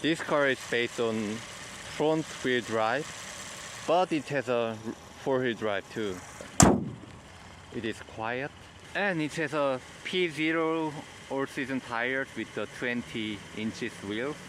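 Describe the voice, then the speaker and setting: A man with a Korean accent, whose first language is Korean, gives a car review walkthrough in plain, halting phrases. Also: mid-range voice, noisy background. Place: in and around a car.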